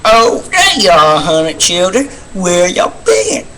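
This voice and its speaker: A man's voice: high-pitched, wildly swooping pitch, takes it slowly, pushed and bright, full character voice. A man voicing a hungry, scheming cartoon wolf with a folksy drawl in an amateur fandub. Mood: sweetly sly.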